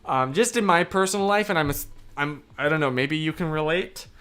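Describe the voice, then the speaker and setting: A hoarse young man hosting a livestream, chatting casually and rambling off topic with easy laughs. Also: a little high, a little fast, a bit bright. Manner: rambling, loses his train of thought; laughs easily. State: hoarse, voice worn out. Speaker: livestream host. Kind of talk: casual livestream chat, answering comments.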